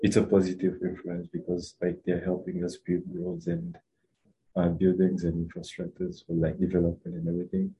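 A man's voice: low-pitched, completely flat delivery, normal speed, warm tone, dull audio, a little hiss or hum. A young man from Rwanda, a student answering interview questions in a hesitant, casual way with many fillers and restarts. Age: young adult.

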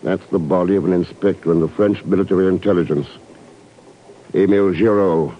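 An actor playing a self-possessed secret agent in an old radio drama, unhurried and probing. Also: male voice, low voice, steady tone, close to an everyday voice. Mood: brisk, controlled. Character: cool, probing male secret agent hero. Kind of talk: 1950s radio drama acting. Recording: noisy, dull old radio recording.

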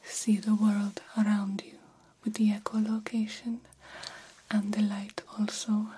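A woman in an ASMR roleplay voicing a formal, reassuring, slightly vain female vampire doctor in a gentle, breathy whisper. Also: mid-range voice, monotone. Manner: slow, formal, measured, gently encouraging.

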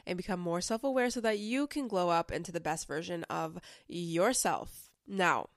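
A young woman with a lowish, smooth voice hosts a self-help podcast. She's a bit under the weather, so her voice sounds slightly off, but she keeps talking in a loose, chatty way full of filler words. She's close on the mic in a dry room. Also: moderate pace, no echo. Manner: chatty and conversational, with frequent "like" fillers. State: slightly sick, voice a little off. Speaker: podcast host.

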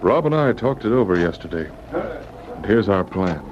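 An actor playing a firm, steady Mountie sergeant in a radio drama, direct and authoritative. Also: male voice, on the low side, moderate pace. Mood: firm, calm. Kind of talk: old-time radio drama acting. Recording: muffled old radio recording, loud background crowd.

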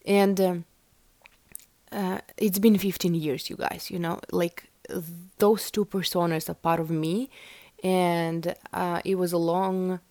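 A woman chats on her own podcast, her voice low with a gravelly edge. She rambles along with lots of "like" and "um." The sound is dry and close to the mic. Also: flat delivery, takes it slowly, mellow, dry recording. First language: Russian. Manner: chatty, rambling, many fillers like "like" and "um". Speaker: podcast host. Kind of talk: casual solo podcast talk.

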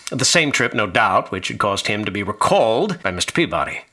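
A man's voice: on the low side, sing-song, fairly quick, pushed and bright, exaggerated voice. A man acting in a radio drama as a haunted young college student, uneasily recounting horrors. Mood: troubled. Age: young adult.

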